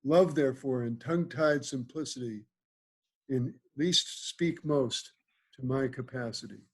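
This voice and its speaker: A man's voice: mid-range voice, unhurried. A man reading the part of a lordly, genial ruler in Shakespearean verse at an amateur table read over video call. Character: lordly, genial male ruler of Athens, a bridegroom. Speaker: amateur reader in a play.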